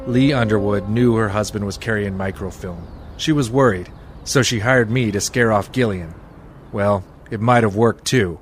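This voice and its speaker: An actor playing a wry, hard-boiled private detective in 1940s radio noir style, deadpan and terse. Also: male voice, lowish voice, background noise, natural voice.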